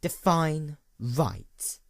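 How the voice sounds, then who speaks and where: A man voicing a weary, bitter female unicorn in a story, with a quiet, measured murmur. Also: a little high, expressive, vocal fry, no echo, studio quality, voice pushed up, full character voice. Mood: bleak.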